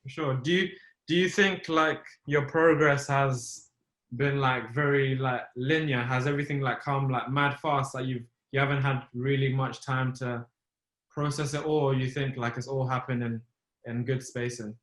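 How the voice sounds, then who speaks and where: A man's voice: medium pitch, steady tone, a bit bright, roomy sound. A man hosting a podcast interview, asking questions casually and encouraging his guest with slang. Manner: casual and encouraging, quick "yeah, yeah" and slang like "bro".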